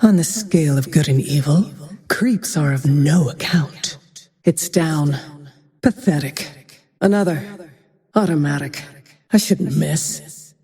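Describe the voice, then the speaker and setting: An actress voicing a grim, vengeful frost archer in a video game, with cold, terse, menacing delivery and a breathy tone that sometimes drops to a whisper. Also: female voice, deep voice, slow, mellow. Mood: cold menace. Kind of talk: video game character voice lines. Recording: long cavernous reverb tail.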